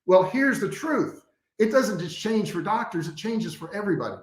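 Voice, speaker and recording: A man's voice: high-pitched, animated, normal speed. A man giving a motivational coaching talk to a live online audience, direct and conversational, repeating key points for emphasis. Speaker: business coach, host of a livestream training. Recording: echoey, roomy sound.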